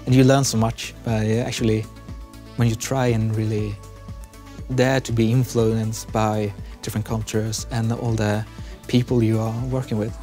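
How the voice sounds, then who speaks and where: A man's voice: lowish voice, level tone, moderate pace. A young man from Sweden with a Swedish accent, speaking unscripted as a business student in an interview. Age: young adult. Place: busy classroom studio, indoors. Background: soft background music.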